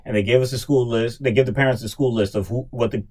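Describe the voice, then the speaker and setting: A man's voice: mid-range voice, steady tone, quick pace, a little mellow, breathy edge. Teasing man in his thirties. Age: 30s. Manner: teasing, sarcastic, playful. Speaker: co-host, brother. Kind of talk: casual podcast banter. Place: studio.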